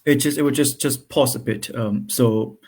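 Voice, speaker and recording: Halting man giving study tips. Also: mid-range voice, darkish tone, slightly rough. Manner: halting, many restarts and fillers. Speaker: guest. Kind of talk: conversational advice over a call.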